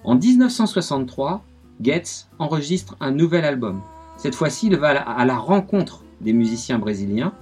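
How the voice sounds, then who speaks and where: A husky man with a slightly high voice tells music history on the radio with lively enthusiasm. Soft music plays beneath his voice. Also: moderate pace. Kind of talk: radio music segment, storytelling.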